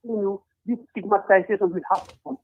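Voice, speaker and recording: A man, speaking as a panel guest in a political discussion, earnest and deliberate with frequent hesitations. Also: sits high, steady tone. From Gambia. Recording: tinny phone line.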